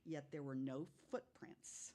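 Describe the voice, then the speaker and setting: A woman's voice: low voice, steady tone, slow, dark tone. A woman telling stories of unexplained cases in a casual way, as a guest on a podcast.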